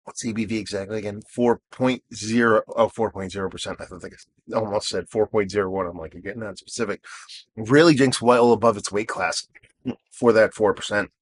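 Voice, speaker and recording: A man in his thirties with a hoarse, worn-out voice hosts a casual beer review. His voice sits somewhat low, and he rambles along with plenty of fillers. He pokes fun at himself as he goes. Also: fairly even intonation, fairly quick. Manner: rambling and self-deprecating, many fillers. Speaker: beer reviewer and show host. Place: outdoors.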